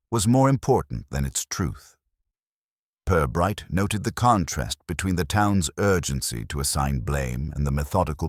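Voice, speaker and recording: Male story narrator, extremely deep, animated pitch movement, formal delivery, dry close sound. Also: dry recording. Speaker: audiobook narrator. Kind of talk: scripted mystery story narration.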